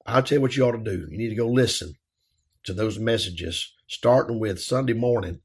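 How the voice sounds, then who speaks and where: A man, a pastor hosting his own podcast, talking earnestly with a dry, raspy throat and plenty of "uh" fillers. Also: on the low side, moderate pace. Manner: earnest, folksy, frequent "uh" fillers. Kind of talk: casual podcast monologue.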